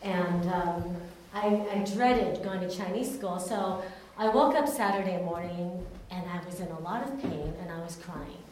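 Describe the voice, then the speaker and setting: A woman's voice: fairly low pitch, unhurried, a little mellow. A woman born in Taiwan and raised in California, candidly telling her personal testimony in an earnest, storytelling way. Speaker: speaker giving a personal testimony. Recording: very echoey room, distant mic, faint noise.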